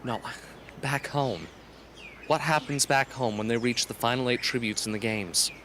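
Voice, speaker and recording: A man playing an earnest, gentle teenage boy in an amateur radio drama, patient and persuasive. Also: medium pitch, moderate pace, slight background noise, close to an everyday voice. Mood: reassuring.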